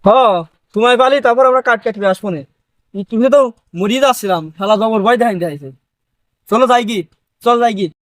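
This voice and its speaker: A man's voice: sits high, fairly quick, a bit bright, no room sound. A man playing a poor, cautious woodcutter, earnest and rustic. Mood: shaken. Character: poor village woodcutter, anxious, cautious, pious.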